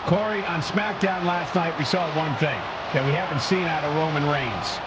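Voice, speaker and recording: A man's voice: fairly high pitch, moderate pace, lo-fi sound, heavy background noise. A man delivering dramatic play-by-play style commentary for a wrestling broadcast. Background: loud music.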